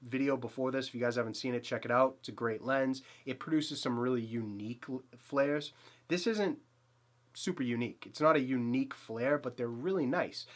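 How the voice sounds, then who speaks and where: A man's voice: mid-range voice, a bit bright. A man in his thirties with a Boston accent, talking casually and eagerly to camera about a portrait lens in a small dim home studio, rambling with fillers and restarts. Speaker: photography channel host. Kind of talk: casual gear review to camera.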